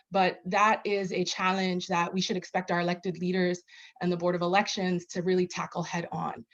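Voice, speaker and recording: Woman candidate, earnest remarks, frequent ums, dull muffled call audio. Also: mid-range voice. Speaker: candidate speaking on a press call. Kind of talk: prepared remarks on a press call.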